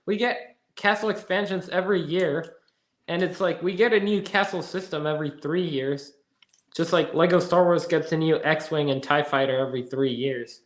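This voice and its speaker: A man's voice: a little high, normal speed, reverberant. A man hosting a casual podcast, chatting and reading out viewer comments. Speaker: podcast host reading live chat. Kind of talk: casual chat.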